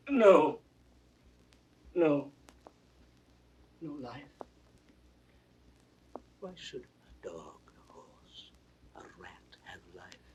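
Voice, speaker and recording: A man's voice: very high-pitched, exaggerated voice. An actor playing a frail, grief-maddened old king in a classical Shakespeare audio drama, swinging from anguished cries to broken whispers. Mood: anguished rage. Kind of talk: 1960s recorded Shakespeare drama, classical stage acting. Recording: distant, noisy vintage drama recording.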